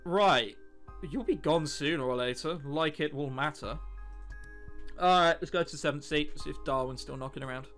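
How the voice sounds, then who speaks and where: A man's voice: fairly high pitch, normal speed, fairly bright tone, faint music behind. A young man hosting a let's play, reading the game text aloud and making sarcastic, sweary remarks about the story.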